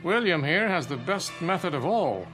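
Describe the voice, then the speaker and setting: An actor in a radio play voicing a genial club gentleman with a breezy, wry, clubby manner. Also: fairly high pitch, sing-song, normal speed. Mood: jovial. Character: jovial, genial male gentlemen's club member. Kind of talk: dramatic radio play acting. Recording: music bed behind the voice.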